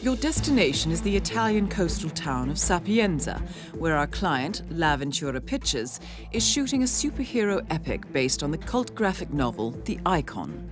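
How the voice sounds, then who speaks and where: Composed, low-voiced female intelligence handler. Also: sing-song. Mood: composed, businesslike. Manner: measured, formal, businesslike, precise. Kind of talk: scripted mission briefing narration for a game. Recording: game cinematic with loud music bed. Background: loud music.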